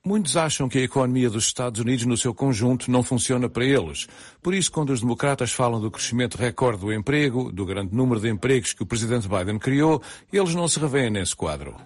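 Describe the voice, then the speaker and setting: A man gives a fast dubbed voice-over in a news report. His voice is husky and mid-pitched. The reading is quick and steady. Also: no echo.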